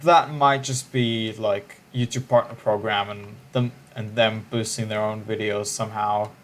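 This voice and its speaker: A man's voice: fairly low pitch, fairly even intonation, unhurried, fairly bright tone. A man, chatting casually on a livestream in a musing, hesitant way with frequent restarts. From Israel.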